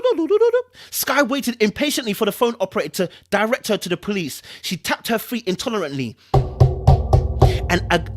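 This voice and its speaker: A woman reading a story aloud in a theatrical, suspenseful and informal storytelling style. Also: mid-range voice. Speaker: host reading a novel aloud to followers. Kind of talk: dramatic storytelling read-aloud with chatty asides and vocal sound effects.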